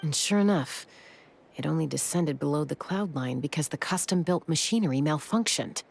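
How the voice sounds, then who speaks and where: Low-voiced, composed young gunslinger. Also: female voice, lively intonation, normal speed, creaky, darkish tone, slight background noise. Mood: matter-of-fact. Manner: composed, matter-of-fact. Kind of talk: video game voice acting. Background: game music.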